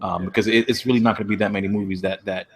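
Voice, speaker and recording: A man in a casual panel discussion on a video call, giving his opinion in a hesitant, measured way. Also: lowish voice, steady tone, a little hiss or hum. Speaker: podcast panelist.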